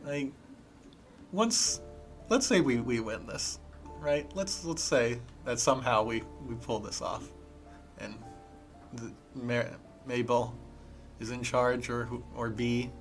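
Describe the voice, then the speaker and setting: A man's voice: mid-range voice, slow pace, long pauses, faint music behind. A man talking casually as a player in a tabletop roleplaying game, drifting in and out of character.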